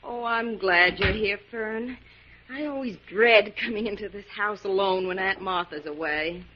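A woman's voice: medium pitch, measured pace, crowd noise, lightly acted. An actress playing an anxious but stubborn young woman, earnest and insistent, in 1940s radio drama style. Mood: friendly, uneasy. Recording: muffled 1940s radio recording.

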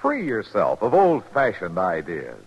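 A man delivering a polished advertising pitch as a 1950s radio commercial announcer. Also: wildly swooping pitch, unhurried. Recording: muffled old radio recording, loud music.

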